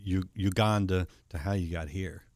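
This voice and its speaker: A man's voice: deep voice, steady tone, normal speed, darkish tone, no echo. A man hosting a talk show, asking thoughtful questions and often restarting his phrases. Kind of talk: interview conversation.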